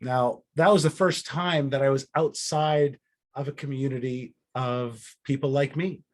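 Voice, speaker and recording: A middle-aged man from Israel who grew up in Canada, giving an earnest personal storytelling talk as a guest speaker, with deliberate, dramatic pauses. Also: medium pitch, fairly even intonation. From born in Israel, grew up in Alberta, Canada.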